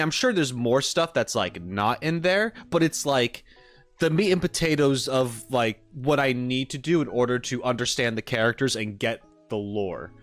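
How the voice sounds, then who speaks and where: A man's voice: medium pitch, fairly bright tone. A man live streaming, talking casually and thinking aloud in an easygoing, rambling way. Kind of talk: casual livestream chat. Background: background music.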